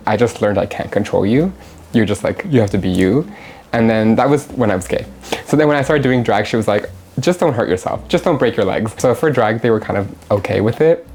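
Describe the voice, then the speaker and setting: A woman's voice: a bass voice, mellow, slight background noise. A woman in her thirties, from Mexico and raised in Canada, talking candidly and chattily as an interview guest at her home. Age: 30s. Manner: chatty, candid, laughs easily. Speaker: interview guest, drag performer. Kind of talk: casual interview.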